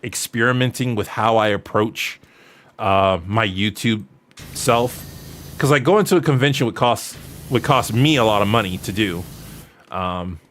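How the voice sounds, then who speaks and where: Early 30s dude streaming, casual jokey rambly q&a chat, average pitch, bit of noise behind him. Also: male voice, fairly bright tone. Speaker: streamer answering viewer questions. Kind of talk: casual livestream chat, reading out and answering questions. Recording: noticeable background noise.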